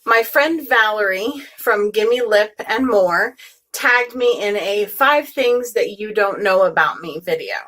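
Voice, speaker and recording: A young American woman talks straight to her viewers in a loose, friendly vlog, with no regional accent. Her voice has a bright, clear edge, and she often trails into ums and restarts as she goes. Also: on the high side. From United States. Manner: chatty, rambling, many ums and restarts. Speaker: beauty vlogger. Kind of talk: casual direct-to-camera vlog chat.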